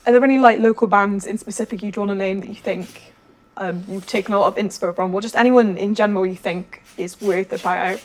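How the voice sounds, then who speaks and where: A woman's voice: mid-range voice, steady tone, fast talker, low-quality recording. A woman interviewing in a casual, informal and slightly hesitant way. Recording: ambient room noise behind.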